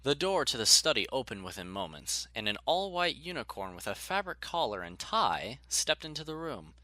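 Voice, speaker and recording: A man's voice: medium pitch, big pitch swings, normal speed, sharp tone, no room sound. A man narrating a scripted story as a dramatic audiobook reader.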